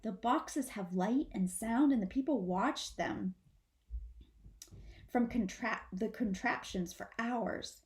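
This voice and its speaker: A woman voicing a protective mother possum, gravely and emphatically explaining dangers to her babies in a storybook reading. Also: fairly high pitch, slow, darkish tone. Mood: emphatic warning.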